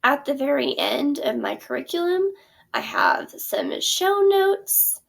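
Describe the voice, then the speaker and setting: A woman's voice: sits high, sing-song, takes it slowly, vocal fry, a bit bright. A young adult woman, a theater teacher, casually walking through her course plan in a chatty way with many ums and self-corrections. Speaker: theater teacher and curriculum creator. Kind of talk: casual explanatory product walkthrough.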